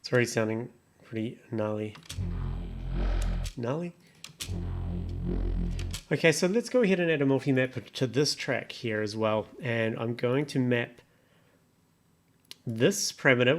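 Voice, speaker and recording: A man hosting a casual music production tutorial, thinking aloud as he talks through each step in an exploratory way. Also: mid-range voice. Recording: electronic music playing behind voice. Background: electronic synth sounds and music.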